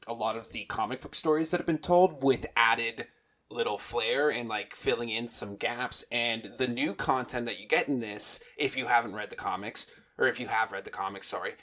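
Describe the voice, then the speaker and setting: Male co-host, medium pitch, informal book talk, halting delivery that keeps rephrasing itself. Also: fairly bright tone. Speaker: podcast co-host. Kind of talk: casual book discussion.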